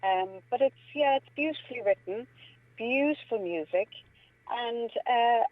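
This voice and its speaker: A woman's voice: on the high side, animated, measured pace, no room sound, phone-quality sound. A woman from Limerick with an Irish accent, chatting playfully and ramblingly as an interview guest. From Limerick, Ireland. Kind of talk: casual conversational interview.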